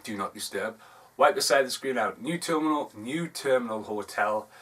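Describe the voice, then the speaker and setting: A man's voice: mid-range voice, moderate pace, a bit bright. A Geordie man talking through his movie collection in a loose, offhand way, often stopping to correct himself. His voice sits a little back from the microphone, so it sounds slightly distant. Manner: rambling, offhand, self-correcting. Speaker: film reviewer. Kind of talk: casual video review, showing DVD covers. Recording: voice a bit far from the mic.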